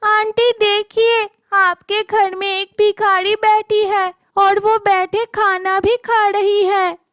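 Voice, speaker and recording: Woman voicing a very high-pitched young cartoon village girl. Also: flat delivery, takes it slowly, fairly bright tone, no room sound, clean studio sound, exaggerated voice. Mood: scheming. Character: young village girl, wronged, then vengeful with magic. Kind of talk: Hindi animated moral story acting.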